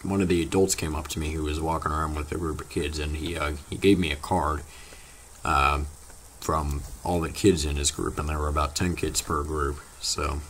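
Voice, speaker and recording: A teenage boy, slightly hoarse from losing his voice, chatting casually to his viewers in a rambling, halting way full of fillers. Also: very deep voice, fairly even intonation, fairly bright tone, slight background noise. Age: teens. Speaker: vlogger. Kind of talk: casual vlog chat to viewers.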